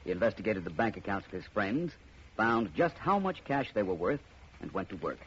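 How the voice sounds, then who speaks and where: An actor playing a suave, cool-headed amateur detective, speaking in a smooth, assured manner in 1940s radio acting. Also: male voice, fairly low pitch, very animated, brisk. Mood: explaining. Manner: smooth, assured, explaining with relish. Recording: muffled old radio broadcast, faint hiss.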